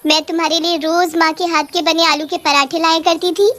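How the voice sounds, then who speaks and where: A woman's voice: extremely high pitch, level tone, fairly quick, a bit bright, pitched above the performer's own voice. A woman voicing a contented, homely village mother turned witch, earnest and chatty, in a cartoon story. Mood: friendly. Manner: earnest, chatty, proud of simple village life.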